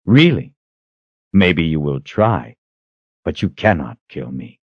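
A man's voice: rumbling low, sing-song, slow pace, breathy edge, no room sound, lo-fi sound, full character voice. A man voicing a cold, defiant fantasy hero in an audiobook, taunting and intense. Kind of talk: dramatic audiobook character acting.

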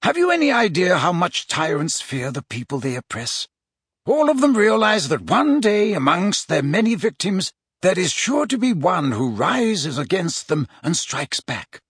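A man's voice: high voice, big pitch swings, measured pace, a bit bright, breathy edge, no echo, clean studio sound, pitched above the performer's own voice, exaggerated voice. A man voicing an old, wise headmaster wizard in an audiobook, speaking formally and emphatically as an earnest, urgent mentor. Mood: impatient, agitated.

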